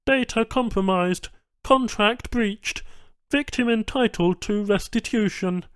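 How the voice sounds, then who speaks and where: A man's voice: high voice, slow pace, fairly bright tone, clear, resonant tone, no room sound, pitched above the performer's own voice. A man voicing a matter-of-fact alien technician in a science fiction audiobook, speaking emphatically in clipped, stilted broken phrases. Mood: flat, insistent.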